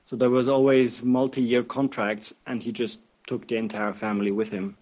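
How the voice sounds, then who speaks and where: A man's voice: mid-range voice, level tone, moderate pace, a little mellow. A man raised in Switzerland and speaking in a language other than his native German gives a reflective, lengthy account as an interview guest. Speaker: interview guest, game master. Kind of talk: casual conversation. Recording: muffled lo-fi audio.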